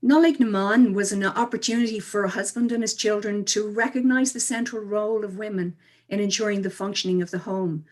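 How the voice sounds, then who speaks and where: A woman giving measured, articulate, explanatory answers as an interview guest. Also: medium pitch, a little mellow. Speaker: interview guest, cultural speaker.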